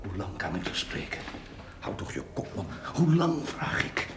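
An actor playing a desperate, devoted man who pleads urgently in a breathy half-whisper in a radio drama. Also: fairly low pitch, big pitch swings, moderate pace, over loud music.

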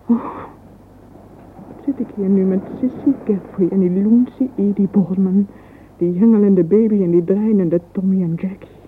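Actress as dreamy young romantic, tinny old recording. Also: female voice, mid-range voice, natural voice. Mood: fretful. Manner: intimate, wistful, often breathy and half-whispered. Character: dreamy, vain young romantic woman, yearning and sentimental. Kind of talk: radio drama interior monologue. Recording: narrow phone-like old radio recording, faint hiss.

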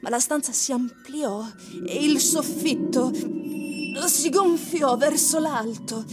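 A woman giving dramatic, literary radio narration with deliberate, suspenseful phrasing. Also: high-pitched. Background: music.

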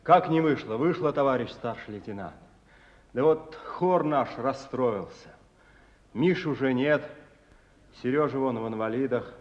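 A man's voice: medium pitch, unhurried, big-room sound, natural voice. An actor playing a plucky, wry male soldier in a wartime radio play. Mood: wry, rueful. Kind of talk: Russian radio play acting. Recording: dull radio play sound over music.

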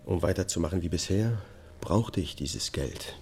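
An actor playing a troubled middle-aged man in a German radio drama, weary and evasive, speaking gravely and with restraint. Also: deep voice, animated, moderate pace, darkish tone, a little hiss or hum. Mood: bleak confession. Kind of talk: German radio drama acting, confessional monologue.

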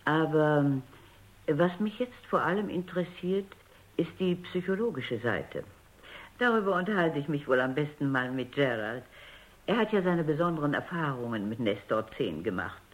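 An actress playing a probing, authoritative robot psychologist in a German radio drama, with a formal and pointed manner. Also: female voice, sits low, animated, normal speed. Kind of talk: German radio drama acting with first-person narration. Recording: dull, band-limited radio recording.

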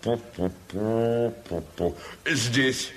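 A man's voice: mid-range voice, slow pace, lightly acted. A man playing a stout, self-important general in an audio drama, ponderous and puffing on his pipe between phrases. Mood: self-satisfied. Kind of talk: theatrical audio drama acting.

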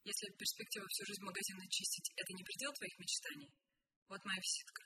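An actress in a radio play playing an inquisitive, pushy woman writer, eagerly questioning in halting, conversational bursts. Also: medium pitch, huge pitch swings, pushed and bright, heavily acted. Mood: persuasive, insistent. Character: inquisitive woman writer interviewing a young thief, pushy, excitable. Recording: radio broadcast with faint noise.

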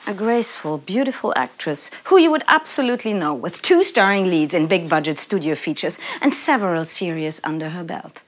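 A husky casting director played by a woman holds forth in a theatrical monologue. Her pitch swings widely as she tells her story with exasperated emphasis. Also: animated, moderate pace, hardly any pauses. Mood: scornful, incredulous. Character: dramatic, exasperated female casting director telling an audition story. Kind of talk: theatrical spoken-word monologue.

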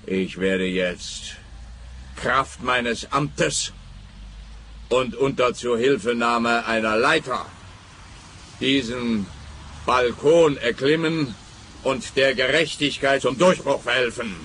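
An actor playing a pompous, officious, easily exasperated police officer in a comic radio play, speaking in stilted bureaucratic phrases. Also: male voice, medium pitch, slow pace, long pauses, a bit bright, a little hiss or hum.

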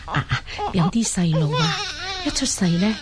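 A woman's voice: lowish voice, animated, moderate pace, close to an everyday voice. A woman playing a chatty old midwife in a Cantonese radio drama, fussing and soothing with many interjections. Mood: fussing, reassuring. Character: chatty reassuring old midwife of a Mongol tribe.

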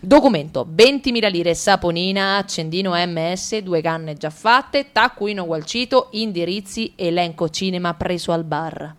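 A woman reading a comic monologue for radio theatre, ticking off lists briskly with ironic, playful emphasis. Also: lowish voice. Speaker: radio drama reader.

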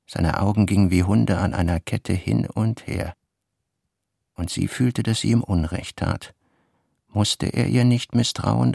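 A very low male voice with heavy gravel narrates a literary radio play. He sounds brooding, and every word comes out close and dry. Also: a little mellow, no echo, exaggerated voice.

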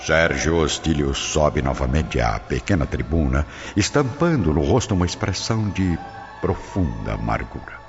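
A male radio drama narrator with a Brazilian accent and an extremely deep voice. His delivery is dramatic and swings widely in pitch. The recording is a little dull, with music clearly behind him. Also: very animated, normal speed. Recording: dull lo-fi audio with prominent music.

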